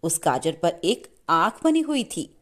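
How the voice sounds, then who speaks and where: A woman's voice: lowish voice, very animated, measured pace, a bit bright. A woman storyteller narrating a scripted moral tale with dramatic storybook delivery.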